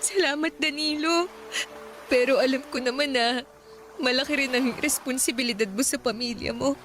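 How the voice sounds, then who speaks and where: A dutiful, worried daughter in a radio drama, speaking politely and pleadingly. Her voice sits somewhat high, with faint noise behind. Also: moderate pace, fairly bright tone. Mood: sad. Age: young adult.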